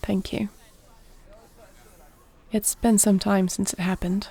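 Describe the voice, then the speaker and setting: A woman playing a gentle, dignified warrior princess in an intimate fantasy roleplay, speaking softly with formal, measured, tender diction. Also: medium pitch, flat delivery, husky. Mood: quietly grieving.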